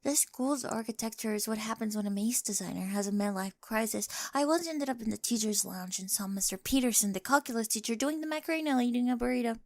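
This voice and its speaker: Casting: adult woman as eccentric teen girl with a crush, light high voice with some creak, playful awkward ASMR delivery, often whispery. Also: quick pace, hardly any pauses, darkish tone, no echo, natural voice. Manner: playful, socially awkward rambling. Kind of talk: ASMR roleplay acting.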